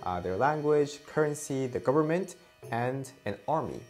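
A man's voice: medium pitch, animated, moderate pace, darkish tone, soft background music. A young Japanese man with a Japanese accent, a karate instructor hosting a video lesson to camera, earnest and emphatic, repeating key points. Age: young adult. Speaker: karate instructor and channel host. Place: indoor studio before a plain grey backdrop. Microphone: lapel mic.

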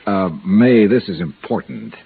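An actor playing a dogged, wry American insurance investigator in a 1950s radio drama, clipped in narration and casual when questioning people. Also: male voice, low-pitched, animated, a little slow, a little hiss or hum. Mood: pressing. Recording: muffled vintage radio recording with music.

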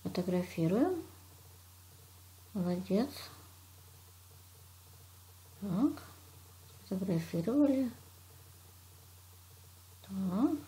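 A woman's voice: medium pitch, big pitch swings, slow, long pauses, mellow. A woman teacher from Russia walks a child through a drawing lesson step by step, patiently praising and narrating each action.

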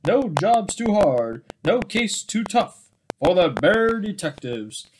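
A young adult man reading a children's story aloud in an expressive, unhurried way, recorded at home in a small room. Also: high-pitched. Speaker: storybook reader. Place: small indoor room with bookshelves. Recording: clearly noisy home webcam recording.

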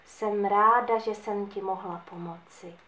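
A woman voicing a gentle, kind female angel who speaks softly and soothingly. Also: mid-range voice, fairly even intonation, slight background noise, lightly acted. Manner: soft, hushed and soothing. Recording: echoey room.

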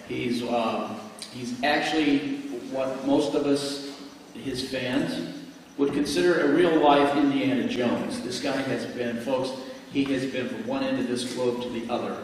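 A man's voice: medium pitch, fairly even intonation, a little hiss or hum. Chatty middle-aged man in an echoey hall. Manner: halting and chatty, with restarts and asides to the audience. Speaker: emcee introducing the guest speaker. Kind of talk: informal live introduction. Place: lecture hall, standing at a podium. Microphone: handheld microphone. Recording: echoey hall recorded from far off.

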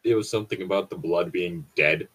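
A man's voice: deep voice, brisk, a bit bright, breathy edge. A man bantering casually and reading aloud as a show host, with a sweary, exasperated manner.

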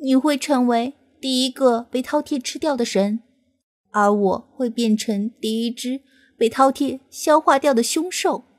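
A woman's voice: high voice, a little slow. A woman voicing an impatient, mischievous female mythical beast in an audiobook, complaining and needling. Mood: indignant.

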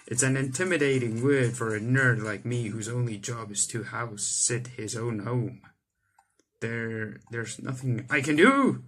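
A man's voice: mid-range voice, a little slow, a bit bright, breathy edge. A young man reading visual novel text aloud with a dramatic delivery, stumbling over words now and then. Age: young adult. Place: indoor room, on webcam. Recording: game music behind the voice. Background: visual novel game music.

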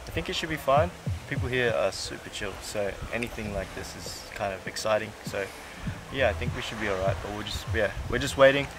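A man's voice: fairly low pitch, brisk. A man in his twenties casually explaining a video shoot to camera as a crew member, outdoors. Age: 20s. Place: outdoors by palm trees. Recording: loud outdoor background noise.

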